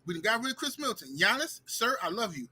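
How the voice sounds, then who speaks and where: Bright-voiced emphatic man. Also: high-pitched, big pitch swings, fast talker, husky. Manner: emphatic, calls out directly. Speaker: guest on a sports stream. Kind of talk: casual sports opinion.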